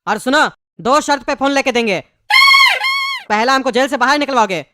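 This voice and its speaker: A high-voiced performer playing a querulous, haggling pumpkin-man prisoner in a comedy cartoon, with Bihari-style Hindi. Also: normal speed, natural voice. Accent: eastern Indian (Bihari-style) Hindi. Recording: clearly noisy background.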